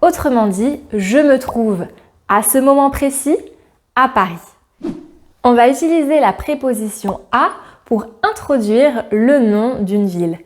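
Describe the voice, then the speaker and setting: Friendly female language teacher, fairly high voice, clear and direct to camera. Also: animated, unhurried. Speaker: French teacher. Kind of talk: scripted grammar lesson to camera.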